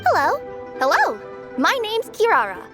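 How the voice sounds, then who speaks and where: A young shapeshifting courier in a video game. She is high-pitched and animated, chatting in an earnest, friendly, easily flustered way. Light music plays underneath. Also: a little slow, heavily acted. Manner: bright-spirited, earnest, chatty.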